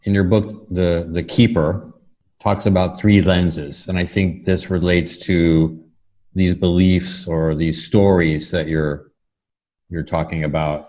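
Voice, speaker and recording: Male interview host, very unhurried and mellow, putting measured questions to a guest over slightly muffled audio. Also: low voice.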